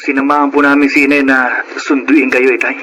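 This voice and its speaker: A man's voice: medium pitch, flat delivery, brisk, bright, forceful tone, close to an everyday voice. A man playing a polite, earnest, repentant young son in a Filipino radio drama. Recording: noisy radio drama recording.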